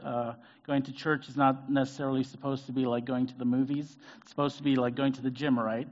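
A middle-aged man preaches in a casual, conversational way, pausing on fillers and restarting his sentences. His voice sits at a middle pitch for a man. The church recording is muffled and lo-fi. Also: little pitch movement, a little mellow. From Zambia. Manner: hesitant, many fillers and restarts. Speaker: guest preacher. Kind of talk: conversational sermon. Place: church sanctuary.

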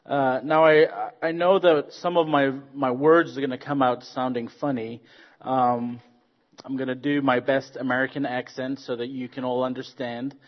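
A man from Zambia, a guest preacher giving a friendly sermon with stories, joking and pausing on fillers. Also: medium pitch, level tone, dull audio. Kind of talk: sermon with storytelling.

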